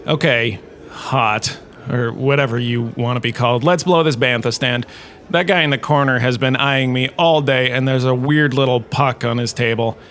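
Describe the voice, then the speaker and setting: A man acts as a male galactic professor in a comic lecture, pleased with himself and fond of a dramatic pause. His pitch sits in the middle of the male range. There is noticeable ambient noise behind the voice. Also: a bit bright, natural voice. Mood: flustered, wry. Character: self-regarding, jokey male galactic professor lecturing in cantina. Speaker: lecturer and storyteller. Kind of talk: scripted comedic lecture narration. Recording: clearly noisy background ambience.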